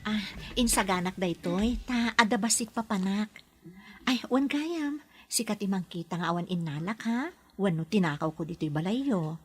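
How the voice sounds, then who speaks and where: A woman acting in a radio drama as a proud, blunt and expressive nanny who cares for her charge. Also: mid-range voice, moderate pace, lightly acted. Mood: hurt, firm.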